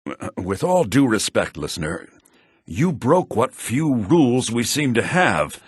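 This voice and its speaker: An actor playing a brash, sharp-tongued fantasy townsman and fighter in a video game, with a rough, gravelly voice. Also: mid-range voice, very animated, measured pace, no echo, studio quality. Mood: resigned, dry.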